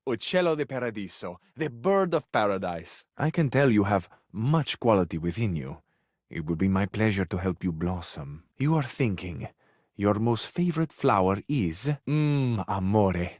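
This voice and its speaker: An actor playing a flowery, sensual, effusive Italian suitor who flirts overzealously in a video game, with an Italian accent. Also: male voice, on the low side, expressive, moderate pace, darkish tone, no echo, studio quality. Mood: seductive, tender.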